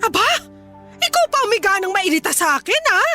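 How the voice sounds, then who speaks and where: Nagging mother, very high piercing voice, scolding and emphatic, radio drama, music behind. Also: very animated, fairly quick, bright, forceful tone, heavily acted. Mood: nagging, indignant. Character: exasperated nagging widowed Filipino mother, scolding her son.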